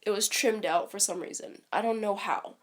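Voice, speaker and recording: A woman's voice: mid-range voice, fairly even intonation, normal speed. A woman with a stuffy, congested voice from a cold, talking casually on a live stream and rambling with frequent ums and restarts.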